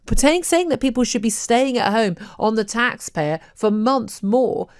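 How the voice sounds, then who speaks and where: A woman radio talk show host, arguing her point forcefully in a studio interview. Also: a little high, lively intonation, measured pace, smooth, no echo.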